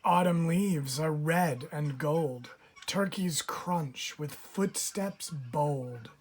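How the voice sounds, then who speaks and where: A woman reading a rhyming children's picture book aloud with measured, expressive phrasing for young listeners. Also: low-pitched. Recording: clear background noise, bird calls. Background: turkeys gobbling and clicks.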